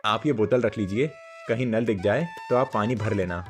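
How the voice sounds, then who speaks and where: A man voicing a humble, caring farmer and father in a cartoon moral story, with a gentle, concerned manner. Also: lowish voice, moderate pace, faint music behind, close to an everyday voice. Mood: kindly.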